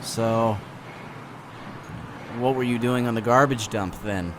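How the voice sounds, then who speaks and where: A man's voice: mid-range voice, slow, noisy background, natural voice. A man playing a sullen, sarcastic teenage boy in a comic dub. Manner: grudging, sardonic.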